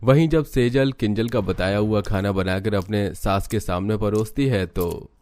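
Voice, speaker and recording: Low-voiced man narrating a story. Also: unhurried, darkish tone.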